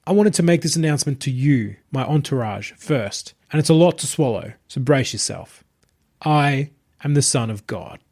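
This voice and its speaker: A man voicing a smooth, possessed internet idol who preaches to followers with calm, husky, grandiose assurance in an audio short story. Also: medium pitch, moderate pace. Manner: calm, composed, grandiose, soothing patter to followers.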